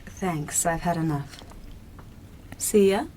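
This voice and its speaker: A woman's voice: lowish voice, takes it slowly, dark tone, low-quality recording. A woman acting in a radio drama as a cool, sullen nineteen-year-old stepdaughter, curt and offhand.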